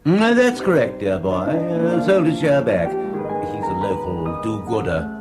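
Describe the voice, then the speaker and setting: A man's voice: fairly low pitch, sing-song, unhurried, loud music behind the voice. An actor playing a suave, patronizing host who keeps his plans hidden, in a radio play. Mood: genial. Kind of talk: radio drama acting.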